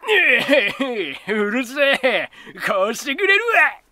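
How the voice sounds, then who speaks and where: A man voicing a rude, violent ruffian in a storybook tale, with a rough, threatening, barking manner. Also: very high voice, very animated, drawn-out words, pushed and bright, dry recording, clean studio sound, voice pushed up, exaggerated voice. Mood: menacing. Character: rude, violent male ruffian, bullying and loud.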